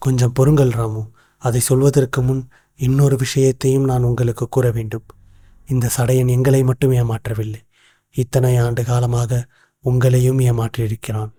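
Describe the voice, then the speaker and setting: A man playing a shrewd, composed royal minister in an animated tale, speaking in a formal, deliberate, explanatory way. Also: mid-range voice, natural voice. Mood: composed, accusing. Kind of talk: animated moral story dialogue.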